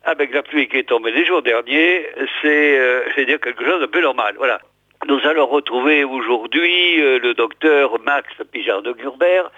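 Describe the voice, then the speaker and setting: A hoarse man hosting a regional radio show, hesitantly introducing and reading out old press articles with many fillers and restarts. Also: medium pitch, normal speed, no room sound. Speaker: radio host. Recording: tinny phone-like radio sound, dry.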